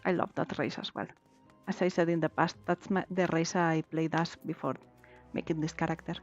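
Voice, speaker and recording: Catalan-native woman streaming a game, chatty and easygoing, lo-fi sound with soft music behind. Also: low voice, steady tone, normal speed. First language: Valencian/Catalan. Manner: chatty, laughs easily, reads game text aloud. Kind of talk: casual chat while gaming. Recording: slightly dull lo-fi stream audio. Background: faint game music.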